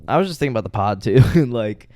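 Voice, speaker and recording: A man's voice: mid-range voice, lively intonation, fast talker, dark tone, no echo. A man co-hosting a podcast in casual chat, laid-back and swearing freely, trailing off with fillers. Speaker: podcast co-host.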